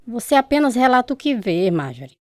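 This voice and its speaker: A woman's voice: on the high side, sing-song, fairly quick, a little mellow, no echo, clean studio sound. An actress playing a confident, insistent spiritualist mother in a Brazilian radio drama, speaking in an assured, instructive way. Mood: assured, coaxing.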